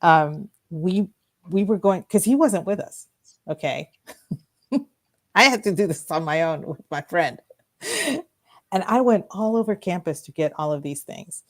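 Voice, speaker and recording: Low-voiced woman telling stories on radio. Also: expressive, unhurried, pauses often. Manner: rambling, laughs often, restarts sentences. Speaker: radio show co-host. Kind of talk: casual storytelling chat. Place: radio studio.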